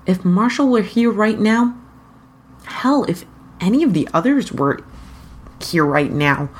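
Husky, slow-reading woman narrator, low-voiced. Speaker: fan fiction reader. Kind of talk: scripted story narration.

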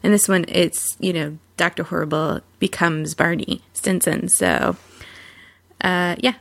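A woman's voice: lowish voice, vocal fry, dry recording. A woman giving a casual, enthusiastic introduction as a podcast host.

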